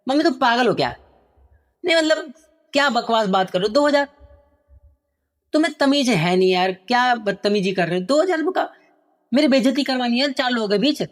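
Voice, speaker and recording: A man voicing an angry, entitled young son who shouts at his parents in outbursts with a raised voice. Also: very high voice, expressive, pitched above the performer's own voice. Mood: indignant. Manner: indignant, raised voice, rapid outbursts.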